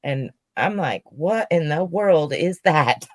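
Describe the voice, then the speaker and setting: Slow, laughing middle-aged woman. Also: sits low, dry recording, clean studio sound. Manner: animated, laughing storyteller. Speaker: panelist. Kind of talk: casual live panel chat.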